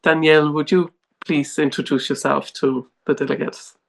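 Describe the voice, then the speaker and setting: A woman's voice: low-pitched, steady tone, moderate pace, creaky. A woman moderating a panel, politely thanking speakers and handing over. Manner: polite, gracious hand-offs.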